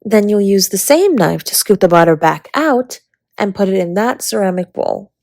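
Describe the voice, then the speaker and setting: A woman playing a flirty, affectionate dragon baker spouse in a romantic roleplay audio, with a breathy, husky, teasing delivery. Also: mid-range voice, lively intonation, normal speed, a little mellow, no echo, clean studio sound. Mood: playful, instructive. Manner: teasing, intimate, often slipping into breathy whispers.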